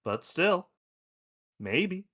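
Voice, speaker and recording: A man's voice: high-pitched, sing-song, extremely slow, sharp tone, full character voice. A man playing an argumentative, folksy space probe in a science fiction table read. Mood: argumentative, musing. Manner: folksy, irreverent, emphatic.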